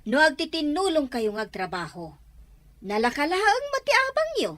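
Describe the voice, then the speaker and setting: Insistent Filipino neighbour woman female actor, high and very animated, nagging delivery for family audio drama. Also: normal speed. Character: insistent younger neighbour woman nagging about debts and rent. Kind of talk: Ilocano audio drama acting.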